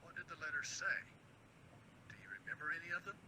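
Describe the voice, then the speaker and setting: A man's voice: on the high side, animated, fast, noisy background, close to an everyday voice. A man voicing a weary radio station producer in a game, frank and confiding. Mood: candid.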